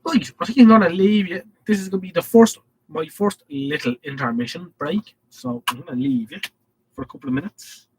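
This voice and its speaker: A man's voice: a little high, a little fast, slight background noise, rough recording. A man with an Irish accent and a head cold, hosting a casual live stream and reading out viewer comments in a rambling way. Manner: rambling, reads chat messages aloud.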